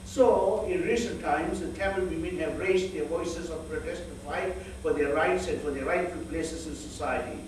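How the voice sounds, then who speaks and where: Earnest male preacher, fairly high voice, measured rhetorical delivery, faint room hum behind. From northern Sri Lanka. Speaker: speaker at a church fellowship. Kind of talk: sermon. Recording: echoey hall, voice from far off.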